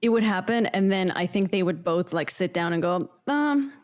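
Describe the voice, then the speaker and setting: A woman's voice: on the low side, expressive, moderate pace. A woman talking casually as a guest in a cast interview. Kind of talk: casual chat.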